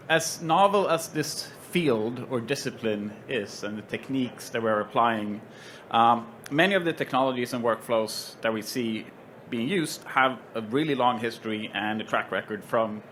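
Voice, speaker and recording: A man with a Swedish accent introduces a trade show panel in an easy, joking manner. He often pauses on ums and uhs. A faint hum of the show floor sits behind his voice. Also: medium pitch, a bit bright. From Sweden. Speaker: panel moderator. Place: trade show stage with stands around.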